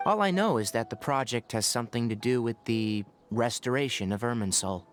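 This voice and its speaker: A man playing a calm, thoughtful young forest scholar in a video game, speaking in a measured, articulate way. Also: medium pitch, a little hiss or hum, lightly acted. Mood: explaining.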